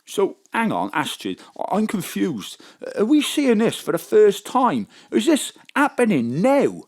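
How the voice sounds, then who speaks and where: A man playing a gullible, anxious husband and sidekick in an audio drama, excitable and confused. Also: high voice, a little slow, full character voice.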